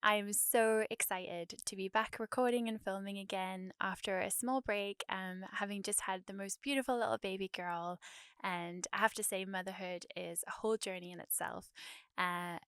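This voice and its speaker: A woman in her thirties hosts a podcast from a studio, her mid-pitched voice smooth and clear. She talks casually and chattily, dotting her speech with ums and uhs. The sound is close and dry. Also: steady tone, moderate pace, dry recording. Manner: warm, filler-heavy, chatty. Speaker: podcast host. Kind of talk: casual podcast chat with scripted intro.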